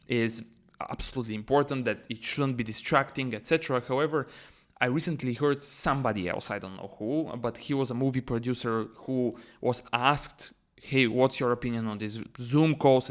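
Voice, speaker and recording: A man's voice: mid-range voice, fairly quick. A man co-hosting a podcast, chatty and easygoing, moving the conversation from topic to topic. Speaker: podcast co-host.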